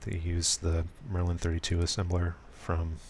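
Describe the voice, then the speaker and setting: Man, deep voice, monotone, soft smooth delivery, live coding walkthrough, faint typing in background. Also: slight background noise. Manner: soft, deliberately smooth and low-key, with frequent ums and self-corrections. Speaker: programmer streaming a live coding session.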